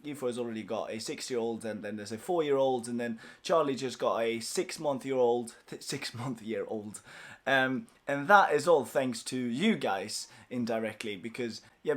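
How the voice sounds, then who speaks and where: A young man whose first language is Swedish chats to viewers from a small office. His voice sits at a middling pitch. He sounds upbeat and grateful, and he rambles a little with ums and uhs. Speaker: language course founder. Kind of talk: casual company update to viewers.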